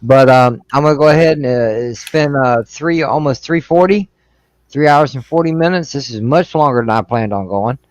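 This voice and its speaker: A man's voice: mid-range voice, normal speed, no room sound. A man hosting a casual live stream, slightly tipsy, talking loosely and cursing freely as he wraps up. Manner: loose and profane, rambling sign-off. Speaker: stream host.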